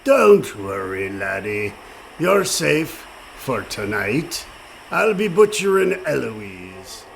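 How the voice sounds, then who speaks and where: Gravelly, teasing pirate cook. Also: male voice, mid-range voice, very animated, slow, slight background noise, exaggerated voice. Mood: sly teasing. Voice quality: gravelly, creaky. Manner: rustic pirate dialect, salty and teasing. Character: gruff, sly pirate ship's cook, male, rough and teasing. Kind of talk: comic radio play acting.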